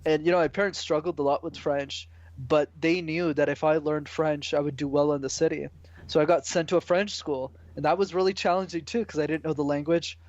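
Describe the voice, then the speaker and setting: A man from Canada answering interview questions casually and relaxed, using mild slang. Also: fairly high pitch, brisk, highs cut off. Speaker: guest, public speaking coach.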